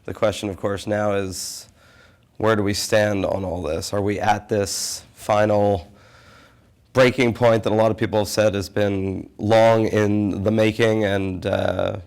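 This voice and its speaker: A man's voice: lowish voice, little pitch movement, unhurried, gravelly, a little mellow. A man with a head cold steering a panel conversation, wry and self-deprecating, asking long winding questions. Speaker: panel moderator. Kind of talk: panel discussion.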